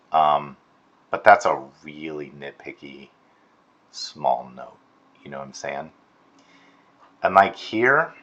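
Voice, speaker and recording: A man giving a casual, encouraging critique as an animation instructor, softening his suggestions with tentative phrasing. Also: low-pitched, lo-fi sound. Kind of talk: casual spoken critique of student work. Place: screen-recorded voice-over.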